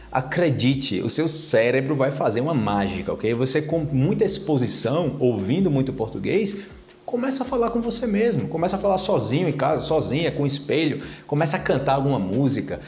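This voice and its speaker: A Brazilian man in his thirties teaching a casual video lesson to camera on a lapel mic, animated and chatty, tagging his sentences with little questions. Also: mid-range voice, echoey room, a little hiss or hum. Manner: animated, gesturing a lot with his hands; chatty, with many tag questions. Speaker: Portuguese teacher. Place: plain-walled room indoors.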